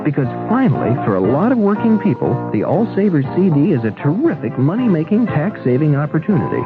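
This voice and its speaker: A dull old radio recording with loud music behind a male spokesman. His fairly high voice moves in lively swings as he delivers a folksy, persuasive sales pitch. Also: a little fast. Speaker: bank commercial spokesman. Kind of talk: conversational scripted advertisement read.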